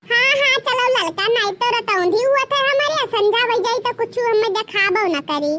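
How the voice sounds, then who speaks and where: An extremely high, squeaky, childlike cartoon village woman with a rustic Awadhi accent. She chatters and gossips in a giggly comic way, drawing out her exclamations. The home recording is clearly noisy. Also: animated, normal speed. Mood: chatty, whining. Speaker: cartoon voice performer. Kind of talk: rustic comedy cartoon dialogue.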